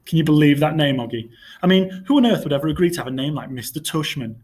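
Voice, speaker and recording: Man playing a protective, animated storybook dad. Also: on the high side, fast, lightly acted. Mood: playful. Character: protective, joking father of a young boy.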